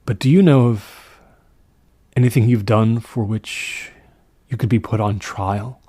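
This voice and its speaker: A man's voice: lowish voice, fairly even intonation, unhurried, mellow, husky, no room sound, studio quality. A man playing an uneasy, polite artist in a horror story, speaking in a careful, hesitant way. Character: uneasy, polite male artist telling his own eerie tale.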